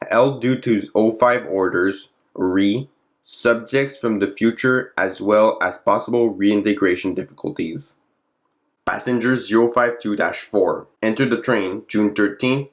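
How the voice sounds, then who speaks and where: A man narrating a fictional classified document in a formal, clinical log-reading manner. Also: fairly low pitch. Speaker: narrator and presenter of the channel.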